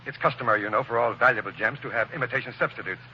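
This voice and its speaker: A man's voice: mid-range voice, rapid-fire, close to an everyday voice. An actor playing a fussy, easily flustered well-to-do father in a 1940s radio drama, with a proper, exclamatory manner. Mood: brisk, explaining. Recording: muffled, faintly noisy old radio recording.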